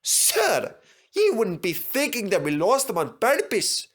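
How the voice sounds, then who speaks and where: A man's voice: sits high, huge pitch swings, measured pace, a bit bright, pitched above the performer's own voice, full character voice. A man voicing mischievous twin steam engines in a children's story, with a Scottish accent and a sly, cheerful manner. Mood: innocent.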